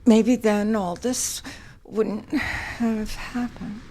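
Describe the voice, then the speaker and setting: A woman playing a moody, guilt-ridden, fragile widow in an audio drama, halting and hushed, often slipping into whispers. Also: a little high, steady tone, a little hiss or hum. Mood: regretful, shaken.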